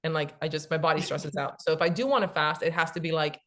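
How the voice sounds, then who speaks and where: A woman in her late thirties, a cookbook author, talking casually and explaining things quickly with many fillers. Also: sits low, steady tone, a bit bright. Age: 30s. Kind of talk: casual podcast chat.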